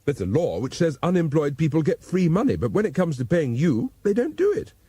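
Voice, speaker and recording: A man plays a naive young wife in an audiobook, her voice lifted high and swooping with feeling. She is plaintive and affectionate, girlish in her emphasis, with a husky edge. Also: very animated, brisk, dark tone, no room sound. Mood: aggrieved.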